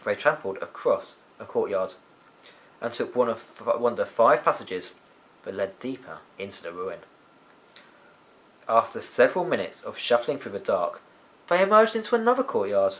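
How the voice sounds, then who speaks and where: A man reading a children's story aloud as an expressive storyteller. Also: fairly low pitch, normal speed. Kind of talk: animated children's audiobook narration.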